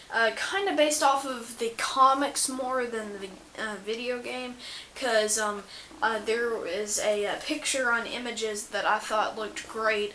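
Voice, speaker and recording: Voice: medium pitch, pushed and bright. Echoey, enthusiastic casual vlogger voice. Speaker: cosplay vlogger showing homemade armor. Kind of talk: casual show-and-tell chat. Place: indoor room, echoey. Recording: echoey room with faint background noise.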